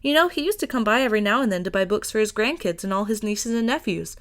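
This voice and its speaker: A woman's voice: medium pitch, lively intonation, quick pace, fairly bright tone. A woman voicing a friendly, eager young female bookshop owner in an audiobook, gushing with enthusiasm. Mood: eager, effusive. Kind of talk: acted fiction reading.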